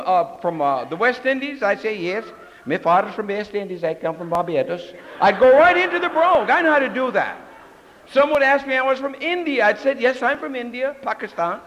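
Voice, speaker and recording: Older man, very high voice, candid story at a recovery meeting, long hall reverb. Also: fairly bright tone, a little hiss or hum. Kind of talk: personal story talk.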